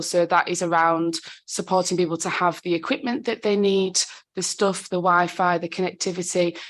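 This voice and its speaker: A woman with a sore throat and a husky voice, a council digital inclusion officer presenting a report to a committee in a conversational way, with frequent ums and self-corrections. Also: mid-range voice.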